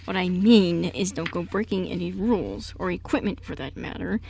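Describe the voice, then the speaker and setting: A woman's voice: on the low side, lively intonation, moderate pace, vocal fry, mellow, slightly rough, full character voice. A woman playing a prim, protective and easily flustered older uncle in an amateur radio play, with a fussy, exasperated delivery.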